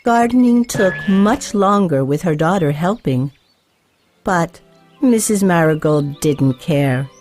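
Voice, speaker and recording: A woman narrates a fairy tale for young children in a fairly low, mellow voice. She takes it slowly with careful, measured phrasing, over light music. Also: animated. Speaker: storyteller. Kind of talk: scripted children's story narration.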